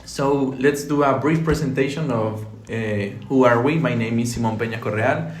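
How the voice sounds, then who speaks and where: A young man from Colombia with a Colombian Spanish accent, hosting a casual student podcast and often pausing to search for words. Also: mid-range voice. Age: young adult. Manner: relaxed, searching for words, hesitant with fillers. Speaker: podcast host, architecture student. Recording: echoey room.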